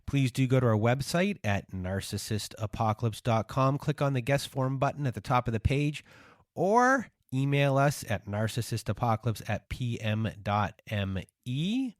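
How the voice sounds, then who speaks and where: A man hosting a podcast, introducing his guest in a measured, earnest way. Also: medium pitch, expressive, no room sound, clean studio sound. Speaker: podcast host.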